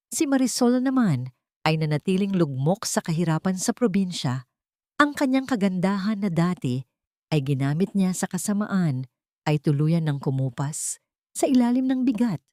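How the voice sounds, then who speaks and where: A woman's voice: fairly low pitch, a little mellow, no echo, clean studio sound. A woman storyteller reading a scripted dramatic love story in an earnest, sentimental and formal literary way. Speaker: storyteller and channel narrator.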